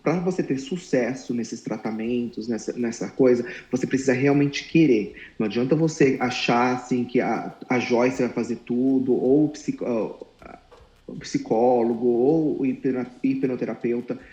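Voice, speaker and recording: Earnest man in his thirties, mellow voice. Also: mid-range voice. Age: 30s. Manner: earnest, reflective, halting restarts. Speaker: guest, former client. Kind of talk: candid interview talk. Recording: roomy echo.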